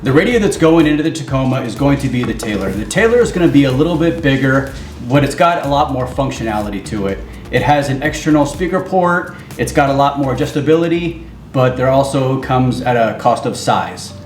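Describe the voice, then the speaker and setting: A man presenting a casual how-to in an explanatory, measured way, as co-host of a gear channel. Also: medium pitch, animated, soft background music.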